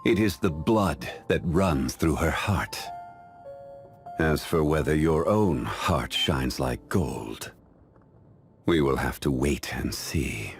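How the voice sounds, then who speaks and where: A male actor playing a calm, dignified, ancient immortal man in a video game, speaking in a measured, formal, refined way. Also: rumbling low, vocal fry, soft background music, full character voice. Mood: solemn. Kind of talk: scripted video game character voice lines.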